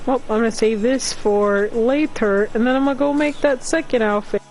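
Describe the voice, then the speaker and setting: A woman's voice: on the high side, normal speed, darkish tone, faint music behind. A woman streaming a video game, chatting casually to her viewers and humming to herself. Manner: chatty, talks to chat, hums to herself. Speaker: gaming streamer. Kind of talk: casual gaming commentary.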